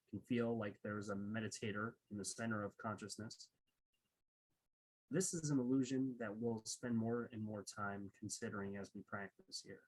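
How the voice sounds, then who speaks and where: A man guides a meditation in a slightly low, husky voice. He gives gentle instructions in short phrases, leaving pauses between them and taking his time. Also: low-quality recording.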